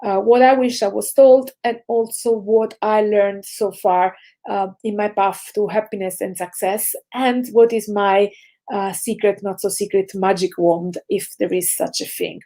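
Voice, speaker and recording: A woman of about forty from southern Italy with an Italian accent, giving a prepared motivational talk to students in a reflective way, stressing the start of phrases and trailing off softly. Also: medium pitch, fairly even intonation, measured pace. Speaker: speaker sharing career lessons with students.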